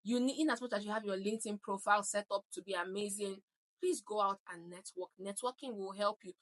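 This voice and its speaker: A woman's voice: medium pitch, brisk. A woman in her thirties from Nigeria with a Nigerian accent, giving emphatic advice in a vlog and often checking in with "okay?". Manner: emphatic, repeats "okay?" to drive points home. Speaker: vlogger.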